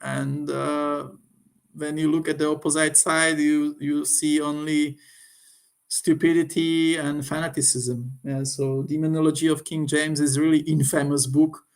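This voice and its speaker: A man from Slovakia with a Slovak accent gives an informal, unscripted review, halting as he searches for words. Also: on the high side, little pitch movement, vocal fry. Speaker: occult book reviewer.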